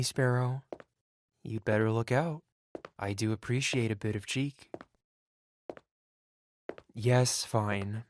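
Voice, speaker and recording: A man's voice: mid-range voice, darkish tone, a little hiss or hum, natural voice. A man playing a wealthy, possessive dragon patron in an intimate ASMR roleplay, speaking in a refined, teasing, purring manner. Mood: teasing, coaxing. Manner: purring, teasing, elegant unhurried phrasing.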